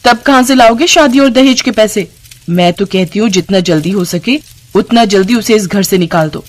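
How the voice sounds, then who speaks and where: Sharp-tongued scheming wife, acted by a woman. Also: mid-range voice, moderate pace, natural voice. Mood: irritated. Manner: nagging, wheedling. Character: sharp-tongued, greedy, scheming village wife and sister-in-law. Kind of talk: acted dialogue in a narrated story.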